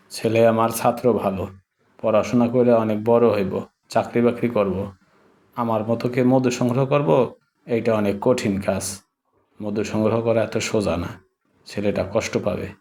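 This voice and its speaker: Over clear background noise comes a gruff cartoon honey collector, acted by a man, with a lowish voice and Bangladeshi accent. He is a poor, devoted middle-aged father who speaks bossily in earthy colloquial phrases. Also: a little mellow, close to an everyday voice. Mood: weary, rueful. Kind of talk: cartoon voice acting.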